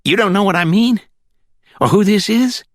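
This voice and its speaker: A man's voice: high voice, expressive, normal speed, dry recording, clean studio sound, pitched above the performer's own voice. A man voicing a sly, wary country caller with a rural Florida accent in an audiobook, insinuating as he bargains.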